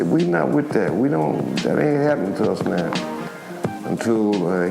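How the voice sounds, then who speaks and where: Older American male interviewee, reflective and searching for words, recorded in an echoey space with clear music underneath. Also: on the low side. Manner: halting, repetitive, searching for words. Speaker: former activist giving a firsthand account. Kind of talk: spoken documentary interview, reflective recollection.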